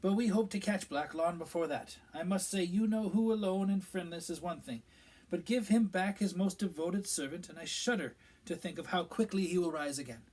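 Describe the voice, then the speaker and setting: A man's voice: high voice, moderate pace, a little mellow, pitched above the performer's own voice, poor audio. A man doing a pompous, fussy middle-aged minister. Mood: solemn, pompous. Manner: pompous, self-important, evasive.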